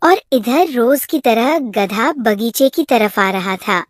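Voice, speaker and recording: A woman's voice: on the high side, expressive, takes it slowly, dry recording, clean studio sound. A woman narrating a scripted moral story for a cartoon.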